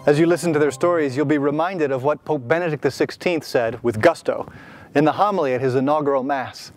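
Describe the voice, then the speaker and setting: A man giving solemn, measured scripted narration for a promotional video. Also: a little high. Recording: music underneath.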